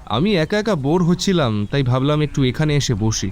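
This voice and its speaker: A man's voice: on the high side, expressive, brisk, darkish tone, a little hiss or hum, close to an everyday voice. A man playing a troubled, withdrawn young man in a mystery audio drama, speaking hesitantly and evasively. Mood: uneasy, evasive.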